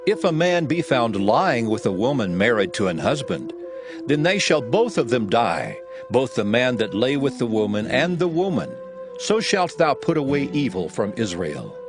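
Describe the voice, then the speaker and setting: A man reading scripture aloud as a narrator, solemn and measured, in a dramatized style. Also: medium pitch, big pitch swings, over music. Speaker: Bible narrator.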